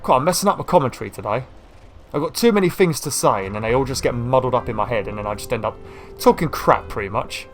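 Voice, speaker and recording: Croaky-voiced man rambling over gameplay. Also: medium pitch, animated, fast talker, faint music behind. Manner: rambling, chatty, self-deprecating. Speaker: gaming YouTuber. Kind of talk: casual gameplay commentary.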